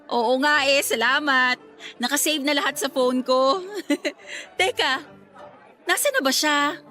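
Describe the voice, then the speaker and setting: Bright-voiced, sentimental young woman. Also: high-pitched, slow, faint music behind, some chatter behind, exaggerated voice. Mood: warm, eager. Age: young adult. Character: sentimental young working woman, wistful aspiring teacher. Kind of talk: Filipino radio drama acting.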